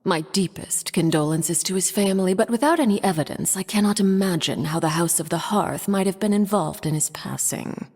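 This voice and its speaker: A woman's voice: mid-range voice, darkish tone, slightly rough. A woman playing a poised, calculating diplomat in a video game, speaking formally and politely with veiled menace. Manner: formal, measured, polite and elegant. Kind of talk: scripted video game voice acting. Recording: long hall reverb.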